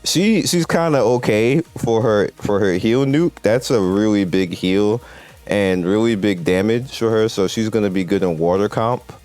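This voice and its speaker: A man's voice: fairly low pitch, a little slow, a little mellow. A man giving casual, rambling video game commentary in a conversational way. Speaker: gaming video host. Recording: game music playing behind the voice. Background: video game music.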